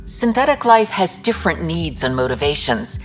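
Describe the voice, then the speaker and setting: An actress playing a principled female alien scientist in a video game, with formal, measured diction. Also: low voice, sing-song, soft background music. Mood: thoughtful. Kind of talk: video game dialogue acting.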